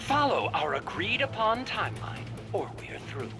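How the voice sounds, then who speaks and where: A sneering scientist villain. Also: male voice, high voice, sing-song, moderate pace, over music. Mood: scornful. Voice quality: sneering, contemptuous. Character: scornful male scientist villain. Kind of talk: video game cutscene voice acting.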